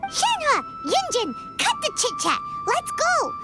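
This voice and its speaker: A woman voicing a tiny, cheerful, bossy fairy companion in a video game, squeaky, childlike and chirpy. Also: huge pitch swings, slow, loud music behind the voice, heavily acted. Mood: excited. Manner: chirpy, eager, exclaiming. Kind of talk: video game cutscene acting.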